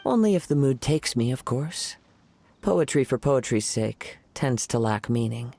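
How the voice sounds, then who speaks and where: Kazuha, a gravelly young poet. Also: male voice, medium pitch, lively intonation, dry recording. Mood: playful. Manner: soft-spoken, poetic and measured. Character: calm young male wandering poet. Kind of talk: game cutscene voice acting.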